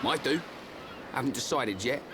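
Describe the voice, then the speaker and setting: An actor playing a brash, skeptical young fighter in a video game, blunt and grumbling. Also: male voice, medium pitch, normal speed, background noise, lightly acted. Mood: skeptical, uneasy. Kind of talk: video game cutscene acting.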